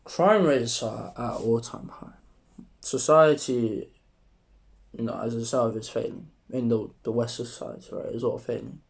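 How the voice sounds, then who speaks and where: A young man with a sore, strained throat, talking casually to camera in a rambling, profane monologue while trying to keep his voice deeper. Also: vocal fry. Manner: rambling, profane, relaxed and deliberately deeper delivery. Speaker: vlogger.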